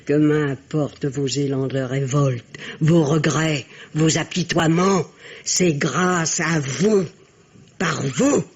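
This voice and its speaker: An actress playing a haughty, domineering old mother in a French radio drama, cutting and theatrical, with a husky voice. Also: low voice, dull audio, heavily acted. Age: older. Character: haughty, domineering old French matriarch, scornful of her son.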